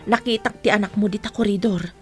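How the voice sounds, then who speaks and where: A woman's voice: mid-range voice, steady tone, a little fast, darkish tone, husky, soft background music, lightly acted. A middle-aged woman playing a kindly, helpful auntie in an audio drama, with an Ilocano Filipino accent.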